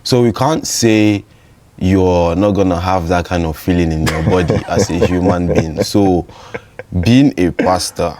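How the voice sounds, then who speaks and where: A man's voice: deep voice, measured pace, warm tone, slight background noise. A man from Nigeria with a Nigerian accent, chatting casually and giving his opinions as a podcast guest.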